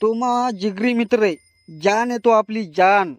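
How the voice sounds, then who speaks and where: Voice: mid-range voice, normal speed, no room sound, lightly acted. A performer voicing a sentimental, argumentative young male drunkard in a cartoon comedy, with a rural Maharashtrian Marathi accent. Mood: maudlin.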